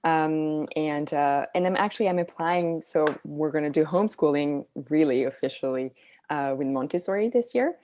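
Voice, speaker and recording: A woman's voice: low voice, moderate pace, mellow, slight background noise. A woman from Switzerland, an interview guest and parenting coach, explains things casually and informally, peppering her talk with "like" and "you know".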